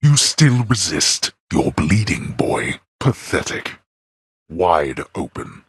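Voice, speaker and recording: A man voicing a stern, scornful god of the dead and imperious father in a video game, with a booming, gravelly voice and formal, archaic phrasing. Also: big pitch swings, slow pace, no echo, exaggerated voice. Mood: menacing, commanding. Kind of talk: video game character voice acting.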